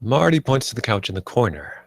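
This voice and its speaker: A man's voice: medium pitch, sing-song, a little fast, vocal fry, no echo, studio quality. A man reading scripted stage directions as the narrator of a live screenplay table read.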